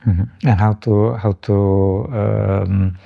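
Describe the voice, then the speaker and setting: A man's voice: lowish voice, steady tone, drawn-out words, creaky, phone-quality sound. A man hosting a podcast interview, asking long questions hesitantly with many fillers and restarts. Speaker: podcast host. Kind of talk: casual interview chat.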